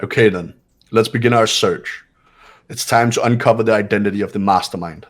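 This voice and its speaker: A man voicing a cool, authoritative student sleuth in an amateur game dub, speaking with deliberate, formal certainty. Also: fairly low pitch, close to an everyday voice. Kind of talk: amateur voice acting of a video game.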